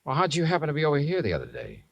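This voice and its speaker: An actor playing a kindly, wry investigator in a 1950s radio drama, coaxing gently and narrating in an understated way. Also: male voice, on the high side, huge pitch swings, rapid-fire, gravelly, muffled recording, exaggerated voice. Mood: gentle, interested. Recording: vintage 1950s radio recording.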